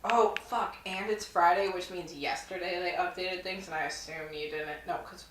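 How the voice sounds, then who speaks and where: A woman's voice: on the low side, normal speed, distant mic, crowd noise. A woman in her twenties co-hosting a casual chat while gaming, chatty, swearing freely, often restarting her sentences. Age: 20s. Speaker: co-host. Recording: echoey room, noisy, off mic.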